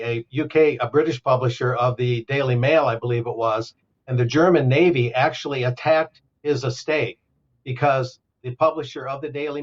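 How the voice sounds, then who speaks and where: Man in a dull, lo-fi remote feed. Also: medium pitch. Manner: steady, argumentative, explanatory. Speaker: co-presenter. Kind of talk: discussion commentary.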